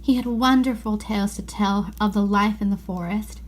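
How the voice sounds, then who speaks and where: A woman reading aloud a children's novel as expressive scripted narration. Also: mid-range voice, moderate pace, slight background noise, rough recording. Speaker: storybook reader.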